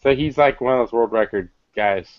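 A man's voice: mid-range voice, brisk, no room sound. A man in casual podcast banter, laid-back and asking questions about what he sees. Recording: dull lo-fi audio.

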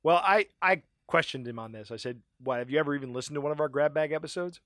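A man from Maryland co-hosts a casual podcast and rants with animated energy and frequent laughs. His voice sits a little on the high side and is starting to wear out. The sound is close and dry, with no room around it. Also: fast talker, no room sound. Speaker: podcast co-host.

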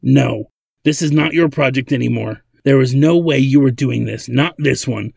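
Casting: man acting elderly male inventor, gravelly, hot-tempered, grumbling, stern father type, storybook reading. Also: medium pitch, moderate pace, darkish tone, dry recording, clean studio sound, voice pushed up. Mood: scornful.

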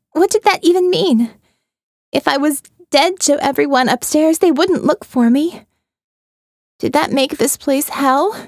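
A woman's voice: sits high, darkish tone, no echo, clean studio sound. A woman reading a first-person audiobook in a wry, conversational way. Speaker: audiobook narrator.

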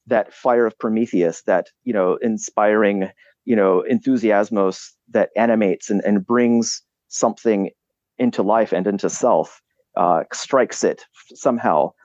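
Halting low-voiced man musing aloud. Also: darkish tone, no room sound, highs cut off. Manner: halting, many restarts and "you know" fillers. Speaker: podcast guest. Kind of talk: reflective conversational explanation.

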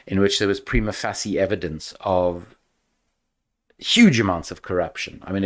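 An older man with a South African accent, speaking as a podcast guest in a measured, emphatic way. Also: deep voice. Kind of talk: podcast interview.

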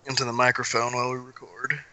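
Bright-voiced man, under the weather. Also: mid-range voice, monotone, fast talker, low-quality recording. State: sick with a cough. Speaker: podcast co-host. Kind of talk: casual chat.

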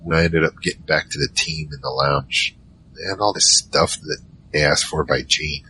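A man's voice: rumbling low, steady tone, moderate pace, pushed and bright, a little hiss or hum, low-quality recording. A man playing a wary team member who narrates an audio drama in a rambling, wry, conversational way. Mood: wry storytelling. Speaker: narrator and lead character.

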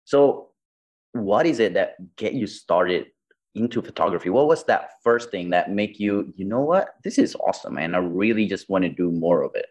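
A man's voice: fairly low pitch, lively intonation, normal speed, darkish tone. A man hosting a podcast interview over a video call, friendly and hesitant, with many fillers. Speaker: podcast host. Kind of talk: conversational interview. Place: Bali.

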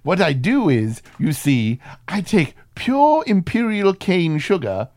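A man's voice: high voice, sing-song, takes it slowly, a little mellow, dry recording, studio quality. A man co-hosting a film podcast in casual comedic banter, irreverent and sweary, slipping into mock voices as he riffs. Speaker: podcast co-host reviewing films.

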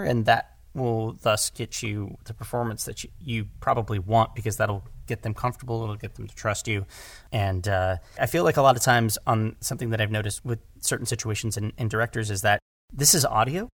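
A man talking casually as an audio drama director, animated and rambling, repeating words for emphasis and joking along. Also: mid-range voice, no echo. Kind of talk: casual conversational discussion.

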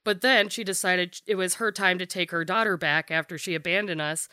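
A woman in her thirties with a deep voice, talking frankly and bluntly as a podcast guest, swearing freely and using lots of fillers. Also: steady tone, brisk, pushed and bright, clear, resonant tone, no room sound, clean studio sound. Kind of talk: casual candid conversation.

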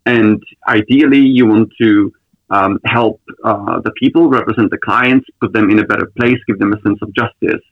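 A man in his thirties from the Czech Republic, a lawyer being interviewed on a podcast, answering thoughtfully with many pauses and restarts. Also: on the low side, fairly even intonation, dry recording, phone-quality sound. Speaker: lawyer, guest.

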